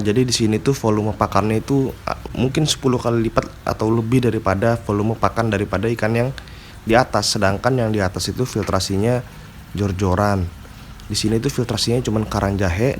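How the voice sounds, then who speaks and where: A man's voice: lowish voice, a little hiss or hum. A man with a stuffy nose from a cold, casually explaining aquarium care in a slangy, filler-heavy vlog style. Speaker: aquarium hobby vlogger. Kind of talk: casual tutorial chat.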